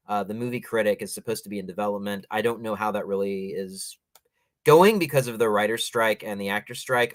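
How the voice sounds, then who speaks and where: A man's voice: on the low side, fairly bright tone. A man hosting a talk show, giving enthusiastic, opinionated and discursive commentary in a conversational style. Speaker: film teacher and critic.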